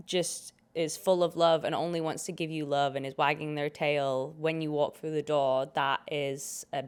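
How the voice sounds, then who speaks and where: A young British woman hosting her own podcast in a relaxed, chatty, jokey way, her voice hoarse from a cold. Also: low-pitched, normal speed. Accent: British English. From Britain. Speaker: podcast host. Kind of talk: casual chat.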